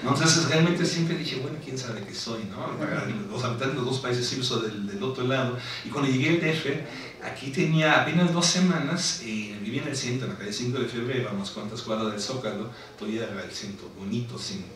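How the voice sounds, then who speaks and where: A man's voice: medium pitch, brisk. A middle-aged man with a German accent, an author telling anecdotes on a panel, laughing as he goes and often adding tag questions. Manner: animated storytelling, laughing, frequent tag questions. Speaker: author at a book presentation. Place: large lecture hall. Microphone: table microphone on stand. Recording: echoey hall from far off with noise.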